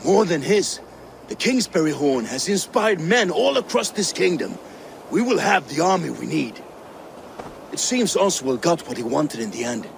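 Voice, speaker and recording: A man voicing a loyal Viking ally and battle planner in a game cutscene, speaking in an earnest, steady way. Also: fairly high pitch, moderate pace, creaky, slight background noise, rough recording. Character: loyal male Viking ally, calm strategist. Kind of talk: video game cutscene acting.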